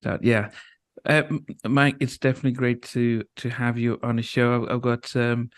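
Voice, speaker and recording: A man hosting a podcast in a casual chat style, halting often as he introduces and asks. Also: medium pitch, little pitch movement. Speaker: podcast host.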